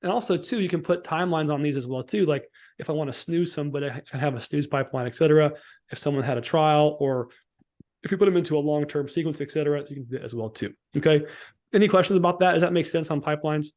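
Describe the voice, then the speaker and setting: A man giving an informal, instructional software walkthrough as a sales trainer on a live call, often checking that listeners follow. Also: fairly high pitch, fast talker. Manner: informal, instructional, checks for understanding with tags like "right?" and "okay?". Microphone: online group call.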